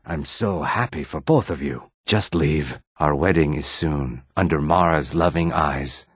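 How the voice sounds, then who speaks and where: A male actor voicing a sly, courteous lizard-man townsman in a fantasy video game, with a husky, raspy voice and measured, formal phrasing. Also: rumbling low, fairly bright tone, dry recording, clean studio sound, heavily acted. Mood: tender. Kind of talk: scripted video game dialogue acting.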